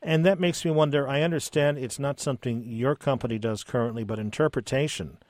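A male radio host asks his guests curious questions and sometimes stumbles over his words. His voice sits at a middling pitch for a man. The recording is close and dry. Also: fairly quick, darkish tone, no echo. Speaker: radio talk show host. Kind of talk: radio interview.

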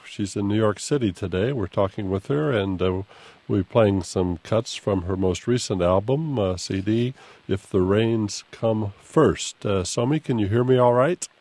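A man hosting a radio interview in a studio, courteous and relaxed, with frequent fillers. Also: lowish voice, a little slow, dry recording. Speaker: radio host.

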